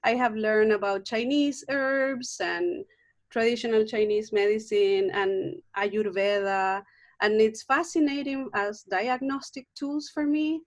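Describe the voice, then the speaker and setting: A middle-aged woman from Chile with a Chilean Spanish accent, a native Spanish speaker, talking earnestly as an herbalist guest in a video call interview, often restarting her sentences. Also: mid-range voice. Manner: warm, earnest, frequent restarts and fillers. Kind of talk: casual interview conversation.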